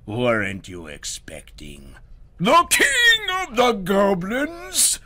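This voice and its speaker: King of the Goblins, slow and menacing, as a man reads him. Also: wildly swooping pitch, pushed and bright, heavily acted. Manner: slow, low and whispery. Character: menacing male goblin king, ancient and huge.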